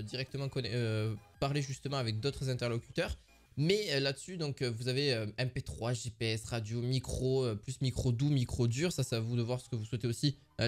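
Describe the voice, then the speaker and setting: Male product reviewer, casual and halting, mid-range voice over quiet background music. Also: mid-range voice. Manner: hesitant, many filler sounds and restarts.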